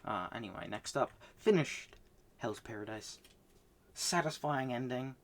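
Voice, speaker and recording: A man casually recapping the manga he read this month, rambling with many fillers and restarts, swinging between loud bursts and soft mumbles. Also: mid-range voice, animated, a little slow. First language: not Japanese. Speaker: manga reviewer.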